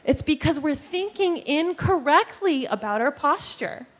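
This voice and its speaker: A woman giving a lively presentation on posture and breathing to a live audience in a meeting room, guiding them through movements. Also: high voice, a little slow. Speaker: posture and breathing teacher giving a workplace talk.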